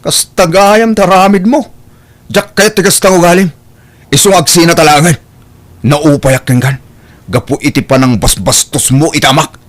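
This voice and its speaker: A man's voice: fairly high pitch, normal speed, lightly acted. A man playing a stern, exasperated young husband protective of his father, in an audio drama with an Ilocano accent. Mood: angry.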